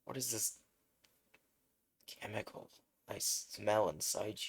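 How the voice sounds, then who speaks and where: A man voicing a slimy, menacing male sludge monster in a fan-told hero story, speaking in a low creeping hiss. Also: slow pace, creaky, breathy edge, full character voice. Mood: menacing, sly.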